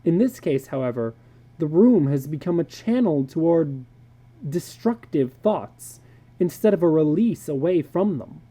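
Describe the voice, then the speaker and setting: Reader voicing an emphatic male psychologist, pitched high, mellow. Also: lively intonation, unhurried, breathy edge. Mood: grave, insistent. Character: David McLean, brisk blunt worldly male psychologist.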